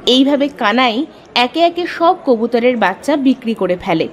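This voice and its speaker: A woman's voice: on the high side, big pitch swings, moderate pace, some chatter behind, slight background noise. A woman giving scripted storybook narration as the narrator of a folk-style tale.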